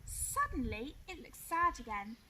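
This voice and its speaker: A woman teacher reading a picture book aloud to young children in an expressive, clearly phrased way. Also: sits high, measured pace, slight background noise, rough recording. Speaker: primary school teacher.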